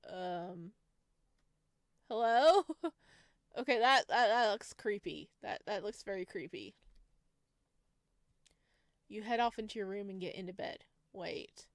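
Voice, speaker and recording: A woman's voice: medium pitch, animated, slow pace, long pauses, no room sound, clean studio sound. A woman doing a visual novel let's play, reading the text aloud with giggly, gushing, exclaiming reactions. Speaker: gaming video host.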